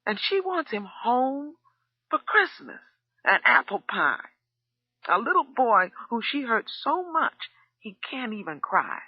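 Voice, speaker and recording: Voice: big pitch swings, normal speed, slightly rough, no room sound, dull audio. A reader voicing a furious middle-aged woman cook who screams accusations and then breaks down crying, in an audiobook. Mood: sobbing. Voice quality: shrill, screaming. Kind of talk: audiobook character voice.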